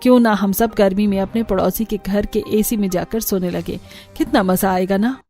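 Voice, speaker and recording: Lady doing a pushy middle-aged hindi cartoon saas, coaxing and brazen, mellow voice, music under it. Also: medium pitch, steady tone, normal speed, lightly acted. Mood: gleeful scheming. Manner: coaxing, breezily dismissive. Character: scheming middle-aged mother-in-law, thrifty and brazen. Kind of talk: cartoon story character dialogue.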